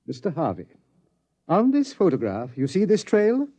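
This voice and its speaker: An actor playing a timid, flustered scientist who stammers and apologises politely in a 1950s radio drama. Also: male voice, a little high, wildly swooping pitch, normal speed, mellow. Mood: apologetic. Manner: stammering, hesitant, fussily polite. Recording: dull vintage radio recording.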